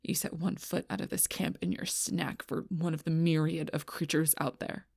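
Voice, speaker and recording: Low female werewolf alpha, acted by a woman, gravelly, stubborn, protective, intense audio drama, close dry sound. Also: fairly even intonation, normal speed, darkish tone, no room sound. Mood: hushed, grim. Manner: intense, blunt, swearing, often dropping to whispers.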